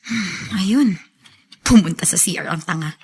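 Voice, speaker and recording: A woman's voice: lowish voice, lively intonation, a little slow, a little mellow, husky, exaggerated voice. A woman playing a spiteful, scheming, jealous rich young woman in a Filipino radio drama, sneering and haughty in her delivery. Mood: gloating, scheming.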